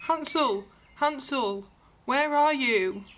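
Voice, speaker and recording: Woman playing a high-voiced clever young girl. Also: takes it slowly, noisy background. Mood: anxious. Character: clever young girl, polite but cheeky. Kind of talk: fairy-tale radio drama acting.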